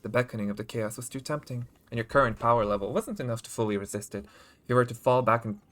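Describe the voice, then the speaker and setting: A man's voice: mid-range voice, animated, fast talker, a bit bright. A male streamer reading game story text aloud, with casual asides.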